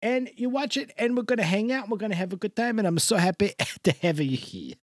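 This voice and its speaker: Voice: medium pitch, lively intonation, fairly quick, close to an everyday voice. Someone doing a gushing, swooping old man. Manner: broken English, theatrical swoops up and down, bursts of laughter. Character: older male Italian guest Mister Del Rossi, boastful, gushing, scatterbrained. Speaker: recurring character guest. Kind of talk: improvised comedy bit.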